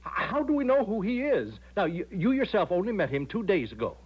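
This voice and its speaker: An actor playing a fussy, flustered, by-the-book legation secretary who stammers and repeats himself in a radio drama. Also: male voice, high voice, big pitch swings, a little fast, breathy edge, full character voice. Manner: stammering, repetitive, dithering, officious. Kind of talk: 1970s radio drama acting. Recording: dull lo-fi old radio broadcast.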